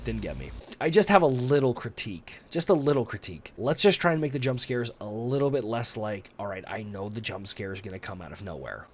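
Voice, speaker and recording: A man's voice: lowish voice, expressive, brisk, few pauses. A man hosting a reaction video, talking conversationally and thinking aloud as he watches. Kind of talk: casual reaction commentary. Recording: music playing behind the voice.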